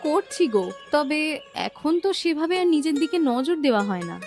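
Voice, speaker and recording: High-voiced, humble young village woman. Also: sing-song, a little fast, a little mellow, soft background music. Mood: resigned, sad. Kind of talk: children's cartoon voice acting.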